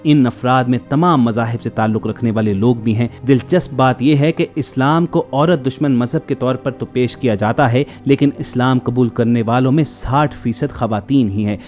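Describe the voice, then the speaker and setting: Male report narrator, formal scripted delivery, mid-pitched voice, music bed underneath. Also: normal speed, hardly any pauses, a little mellow. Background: background music.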